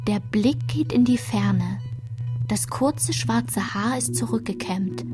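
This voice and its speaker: A woman performing a radio play's literary narration as someone looking back on her girlhood, in a measured, descriptive way. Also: mid-range voice, over music, close to an everyday voice. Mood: reflective, measured. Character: reflective woman recalling her girlhood through old photographs.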